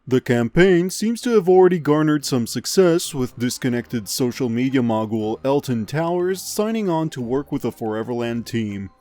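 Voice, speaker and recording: A man's voice: mid-range voice, lively intonation, normal speed, hardly any pauses, a little mellow, soft background music, lightly acted. Man playing a smug, sardonic radio news host. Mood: showy announcing. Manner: dry, sarcastic, showman-like. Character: smug, sardonic male news show host stirring up drama. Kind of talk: parody radio news and ad reads.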